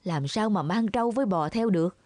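A woman voicing a practical, anxious farming villager in a children's animated fable. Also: medium pitch, fairly quick, no room sound, lightly acted. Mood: worried, urgent. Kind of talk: children's cartoon fable dubbing.

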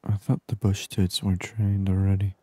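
A man speaking softly and breathily in an ASMR gaming style, murmuring short thoughts aloud close to the microphone. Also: sits low, flat delivery, normal speed, no echo. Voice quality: soft, hushed, breathy voice. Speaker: gaming streamer. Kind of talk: soft-spoken ASMR game commentary. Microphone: close binaural microphone.

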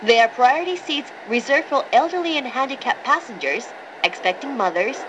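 A woman reading a scripted, pre-recorded train announcement in a formal, clearly enunciated manner. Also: sits high, animated, a little slow, fairly bright tone, muffled recording. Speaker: English-language train announcer. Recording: recorded aboard a train, clear background noise.

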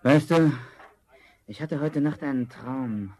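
Slow, brooding, guilty peasant. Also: male voice, mid-range voice, a little mellow. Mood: uneasy. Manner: halting, subdued. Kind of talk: fairy-tale audio drama acting.

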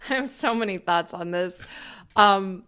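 A woman's voice: medium pitch, takes it slowly, bright, forceful tone, clean tone. A woman in her thirties co-hosting a podcast over a video call through earphones, wry and sarcastic in casual talk. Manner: wry, sarcastic, speaks with conviction. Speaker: podcast co-host. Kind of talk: casual chat. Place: home room, leather chair. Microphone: earphone mic on video call.

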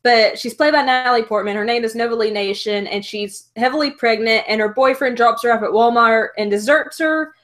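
A woman's voice: a little high, fairly bright tone. Chatty young woman on a headset. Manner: chatty and fast, nerdy enthusiasm. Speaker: host. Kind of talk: casual video-call interview. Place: bedroom with shelves of figures. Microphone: headset on a video call.

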